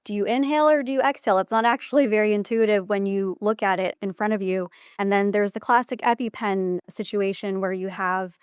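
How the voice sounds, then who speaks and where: A woman's voice: mid-range voice, fairly even intonation, brisk, few pauses, clear, resonant tone, no room sound, studio quality. A woman speaking as an interview guest, a physician giving articulate, organized explanations point by point. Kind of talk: interview answers, measured explanatory talk.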